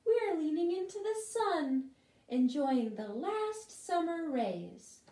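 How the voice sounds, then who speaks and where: A woman voicing gentle late-summer flowers in a sweet, high, unhurried voice while reading a storybook. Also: sing-song, exaggerated voice. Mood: dreamy. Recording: roomy, distant mic.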